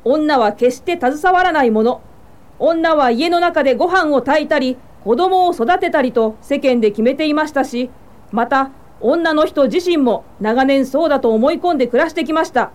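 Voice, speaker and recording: An actress playing a dignified, encouraging women's suffrage leader in a Japanese radio drama, with formal, assured delivery. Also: female voice, sits high, normal speed, darkish tone, close to an everyday voice. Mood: rousing speech.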